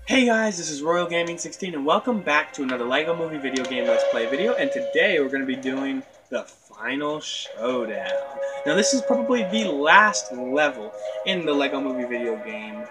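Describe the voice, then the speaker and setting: Excitable sick young man playing a game. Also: on the high side, normal speed, hardly any pauses, low-quality recording. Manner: excitable, chatty, talks to his game. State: slightly hoarse from illness. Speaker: gaming channel host. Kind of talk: let's play commentary. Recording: loud game music behind.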